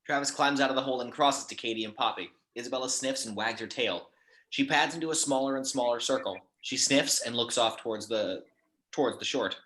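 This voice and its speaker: Man, bright forceful tone, medium pitch, scripted narration, table read. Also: rough recording. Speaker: narrator reading action descriptions.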